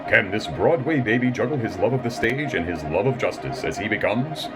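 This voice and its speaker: Man as a low radio announcer over loud music. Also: lively intonation, brisk, close to an everyday voice. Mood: dramatic. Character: dramatic old-time radio serial announcer.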